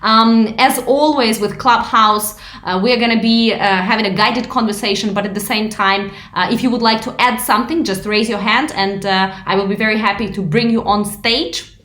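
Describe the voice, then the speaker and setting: A woman's voice: medium pitch, steady tone, moderate pace, a bit bright, echoey room. A woman hosting a live audio panel, who guides the talk and welcomes each speaker. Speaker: host.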